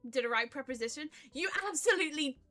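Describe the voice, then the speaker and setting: A woman's voice: high-pitched, expressive, quick pace, bright, forceful tone, faint music behind. A woman livestreamer chatting casually with viewers, in a chatty, gushing way. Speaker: streamer, indie vtuber.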